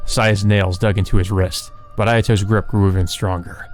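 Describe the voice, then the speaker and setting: A man's voice: on the low side, level tone, normal speed, faint music behind. A man reading visual novel story text aloud as narration for a let's play.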